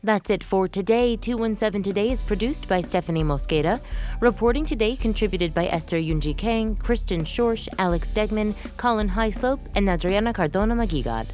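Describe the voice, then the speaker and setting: A woman radio host reading news links and credits in a brisk, polished way. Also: medium pitch, expressive, a little mellow. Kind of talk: scripted news announcing. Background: faint music bed.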